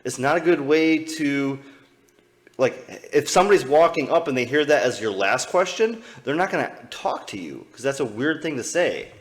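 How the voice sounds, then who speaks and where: A man's voice: medium pitch, animated, moderate pace. A young man with a Midwestern American accent talks over old clips on his live stream. He rambles loosely, backtracks often and swears without a second thought. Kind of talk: casual live-stream commentary.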